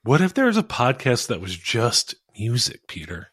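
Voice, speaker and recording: A young man co-hosting a casual sports podcast over a call, with a monotone voice and a rambling manner, laughing at his own jokes. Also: mid-range voice, normal speed, breathy edge, no room sound, clean studio sound. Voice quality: monotone, self-described oafish. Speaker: podcast co-host.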